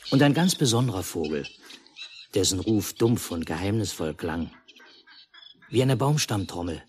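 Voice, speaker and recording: An actor playing a calm storyteller in a 1950s radio play, narrating a lakeside village tale in measured, literary phrases. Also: male voice, sits low. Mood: measured storytelling. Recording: noisy 1950s mono radio recording.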